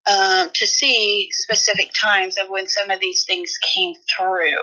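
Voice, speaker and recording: A woman's voice: medium pitch, level tone, slow pace, sharp tone. A woman giving a conversational account in an interview as a relative, on a lo-fi clip.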